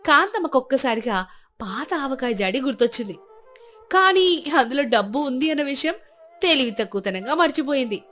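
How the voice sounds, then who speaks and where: A woman's voice: sits high, normal speed, faint music behind. Woman narrating a moral story. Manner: steady, clear, expressive telling.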